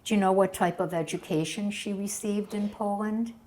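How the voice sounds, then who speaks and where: A woman interviewing a survivor for an oral history, asking brief, gentle questions. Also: mid-range voice, steady tone, normal speed, a little hiss or hum, poor audio.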